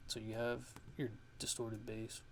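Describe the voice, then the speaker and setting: A man giving a casual software tutorial, haltingly thinking aloud as he goes. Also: lowish voice, monotone. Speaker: music production tutorial host. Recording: clear background noise.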